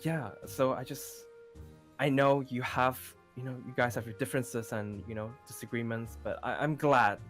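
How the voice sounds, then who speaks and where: A man playing an earnest, lovesick young man in an improvised roleplay, talking in a halting, repetitive, conversational way over background music. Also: medium pitch, steady tone, lightly acted. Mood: earnest, pining. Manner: halting, rambling, repeats himself, often says "you know".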